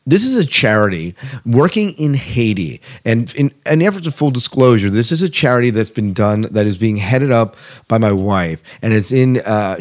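A man hosting a podcast in a casual style, chatting and reading out news with conversational asides. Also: medium pitch. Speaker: podcast host.

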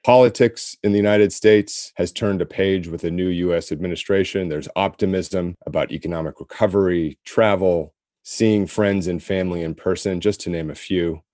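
A man with a low, deep voice hosts a podcast in a measured, formal manner. His tone is mellow and dark, and the sound is close and dry, with no room around it. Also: fairly even intonation, dry recording. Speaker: podcast co-host. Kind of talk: scripted podcast hosting. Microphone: remote call-in setup.

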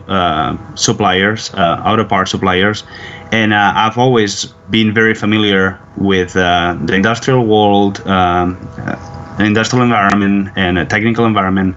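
A man's voice: lowish voice, a bit bright. Hesitant young man from near Barcelona. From Spain, near Barcelona. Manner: careful, many "uh" pauses. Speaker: plant manager, guest. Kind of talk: interview answers. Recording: dull lo-fi line with faint noise.

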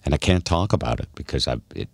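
Very deep-voiced man, dry recording. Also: animated, quick pace, creaky, no echo. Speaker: radio show podcast co-host. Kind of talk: casual talk and commentary.

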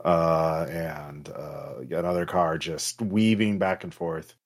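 A man running a tabletop game as game master, narrating casually and off the cuff in a halting way with drawn-out pauses. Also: low voice, steady tone.

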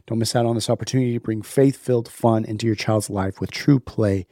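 A man with a hoarse, worn voice from being sick, casually opening a podcast and reading sponsor messages. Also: on the low side, fairly even intonation, a little fast, very noisy. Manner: upbeat, chatty, laughs at himself. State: hoarse, sick, losing his voice. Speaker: podcast host.

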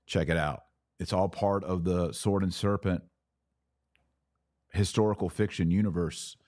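A man with a low voice hosts a religious podcast in a very dry recording. He speaks informally and directly to his listeners. His delivery is conversational, with firm emphasis on his points. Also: fairly even intonation, unhurried, darkish tone, no room sound. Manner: emphatic, conversational, acts out imagined dialogue.

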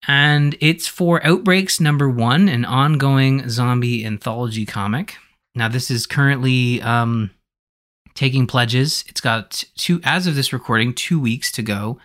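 Bright-voiced man hosting a casual podcast. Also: mid-range voice. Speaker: podcast host.

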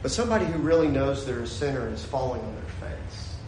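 A man preaching a sermon, his voice swinging from shouted peaks to a hushed storytelling tone. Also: medium pitch, animated, a little fast, roomy sound, a little hiss or hum, poor audio. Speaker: pastor.

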